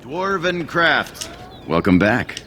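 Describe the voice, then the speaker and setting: An actor playing a dwarven merchant, a gruff fantasy shopkeeper in a video game. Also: male voice, mid-range voice, very animated, takes it slowly, pushed and bright, slight background noise, exaggerated voice. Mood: salesman's pitch. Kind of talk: video game character dialogue. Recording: game audio with outdoor ambience.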